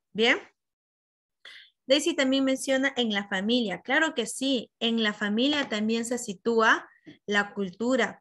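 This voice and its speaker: A woman's voice: fairly high pitch, fairly bright tone. A Peruvian woman teaching an online class, explaining with examples and calling on students by name over a video call. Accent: Peruvian Spanish. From Peru. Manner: asks questions and praises students by name.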